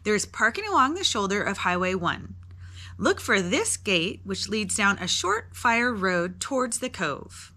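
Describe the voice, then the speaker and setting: A middle-aged woman reading scripted travel narration in a lively, inviting guide manner, as a voice-over. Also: medium pitch, unhurried. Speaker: travel vlog co-host. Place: voice-over, not recorded on location.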